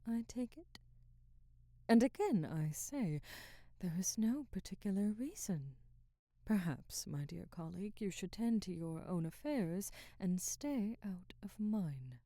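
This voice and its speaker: A woman playing a haughty, guarded middle-aged Hollywood actress in an ASMR roleplay, speaking formally in a soft, breathy voice that often nears a whisper. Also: mid-range voice, dry recording, clean studio sound, close to an everyday voice. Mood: guarded, curt. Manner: formal, measured, aloof phrasing. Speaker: ASMR voice actor. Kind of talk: ASMR roleplay, scripted dramatic monologue.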